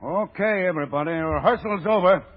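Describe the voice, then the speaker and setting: An actor playing a streetwise American gang boss with a soft spot, talking insistent slang in old-time radio drama style. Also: male voice, high voice, a little fast. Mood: cagey, persuasive. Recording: muffled vintage radio broadcast.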